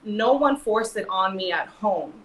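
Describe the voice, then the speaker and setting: A woman's voice: medium pitch, animated, unhurried, a bit bright, echoey room, recorded from a distance, slight background noise. A woman from New York with a New York accent, talking earnestly in a casual panel discussion, with many fillers. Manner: earnest, filler-heavy, emphatic. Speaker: model and actress guest.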